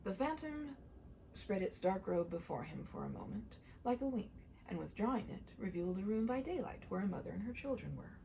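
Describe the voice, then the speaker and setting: A woman gives a dramatic storybook reading. Her pitch moves widely, sometimes sinking to a hush, and there is clear noise in the background. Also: sing-song, a little fast, poor audio. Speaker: amateur audiobook narrator. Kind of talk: dramatic storybook read-aloud.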